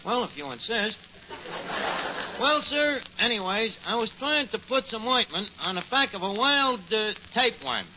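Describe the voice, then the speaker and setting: Bumbling boastful tavern manager, New York accent, highish animated delivery, muffled 1940s radio sound. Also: male voice, moderate pace. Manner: blustering, malapropism-prone, mangled grammar. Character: boastful bumbling tavern manager, a tall-tale-spinning phony. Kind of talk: 1940s radio comedy acting. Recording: noisy muffled old radio recording.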